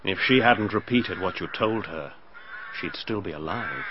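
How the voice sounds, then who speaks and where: An actor playing a calm, menacing man who performs a ritual sacrifice in a radio drama, speaking in a soft, solemn, hypnotic way. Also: deep voice, unhurried, background noise. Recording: dull lo-fi broadcast recording.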